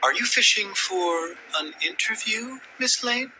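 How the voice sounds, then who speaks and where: A man's voice: high voice, animated, unhurried, heavy background noise. An actor playing a smug, grandiose criminal mastermind in a video game, theatrical and condescending, savoring his words. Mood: smug taunting. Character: smug, grandiose male criminal mastermind with world-conquering plans. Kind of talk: video game villain acting.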